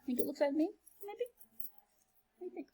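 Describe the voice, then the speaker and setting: Very animated high-voiced woman reading to children. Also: brisk. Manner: playful, expressive sing-song reading that drops to quieter conversational asides. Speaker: teacher reading a picture book aloud to children. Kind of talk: animated children's read-aloud with chatty asides.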